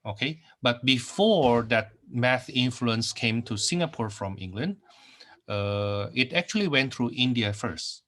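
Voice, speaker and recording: A man's voice: mid-range voice, animated, a little slow, sharp tone. A middle-aged man from Indonesia teaching a math class over a screen recording, often repeating phrases and saying okay. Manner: repeats phrases, many fillers and okays. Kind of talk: recorded online math lecture.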